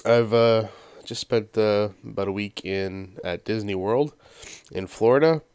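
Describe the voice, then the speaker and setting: Game host, male, nasal from a sinus cold, chatty and meandering, smooth lower voice. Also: unhurried, darkish tone, no echo. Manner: rambling, many ums and uhs. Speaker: let's play host. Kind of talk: casual gameplay commentary.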